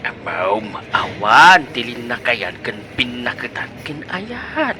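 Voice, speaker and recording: Guy playing a teasing stammery suitor in radio comedy, really sharp bright voice, noisy recording. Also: mid-range voice, wildly swooping pitch, slow pace, exaggerated voice. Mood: wheedling. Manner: stammering, wheedling. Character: eager, teasing middle-aged male suitor. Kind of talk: Ilocano radio comedy drama acting.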